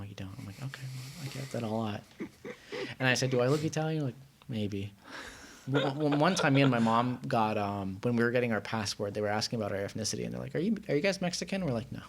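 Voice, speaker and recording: A man from Iran chatting casually and telling anecdotes in a rambling, self-deprecating way. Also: medium pitch. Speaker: podcast guest.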